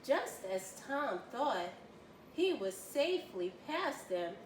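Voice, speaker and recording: Woman reading a storybook, slow and very animated. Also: a little high. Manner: expressive, deliberate storytelling. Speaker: volunteer children's story reader. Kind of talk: read-aloud children's storybook narration. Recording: roomy, distant mic, faint noise.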